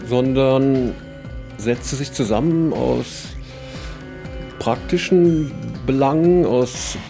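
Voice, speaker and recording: A middle-aged man giving thoughtful interview answers, deliberately searching for his words. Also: medium pitch, vocal fry, over music. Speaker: interviewee, cassette culture radio host and musician.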